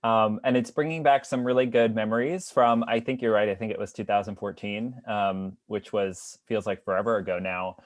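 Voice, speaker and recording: Grateful young man, low dry voice. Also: fairly even intonation, moderate pace, few pauses, dry recording. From Long Island, New York. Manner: friendly, grateful, upbeat, with frequent "um". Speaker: guest speaker. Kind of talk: casual presentation to an online audience.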